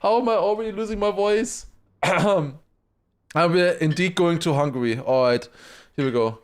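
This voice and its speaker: A man livestreaming excited esports commentary mixed with casual chat, raising his voice to a shout at big moments. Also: high-pitched, unhurried. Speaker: esports watchparty streamer and commentator.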